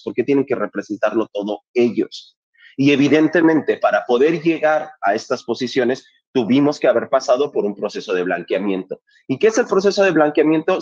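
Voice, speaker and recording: A man argues with heat and conviction, stacking rhetorical questions and blunt swearing. His voice sits fairly high for a man. The recording sounds dull and lo-fi. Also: darkish tone. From Mexico, Coacalco in the State of Mexico. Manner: passionate, emphatic, firing rhetorical questions, swears freely. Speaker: theatre artist in a panel conversation. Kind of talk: casual festival talk.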